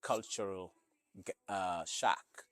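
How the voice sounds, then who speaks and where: Man, Senegalese accent, non-native English, deep voice, casual interview answers, conversational, dry recording. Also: fairly even intonation, takes it slowly, a bit bright, no room sound. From Senegal, West Africa. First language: not English. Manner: conversational, often adds "you know". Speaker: store owner being interviewed.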